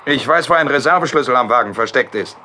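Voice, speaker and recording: A blunt, loyal sidekick. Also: male voice, medium pitch, lively intonation, fast talker, pushed and bright, a little hiss or hum. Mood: reassuring. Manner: blunt, reassuring. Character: loyal brave male friend and helper.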